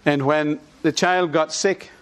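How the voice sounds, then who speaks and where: A middle-aged Irish pastor delivering a sermon, his voice sitting a little high for a man. He leads his congregation in call-and-response, repeating short lines with emphasis so they can echo them back. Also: fairly even intonation, slow pace, a bit bright, clean tone.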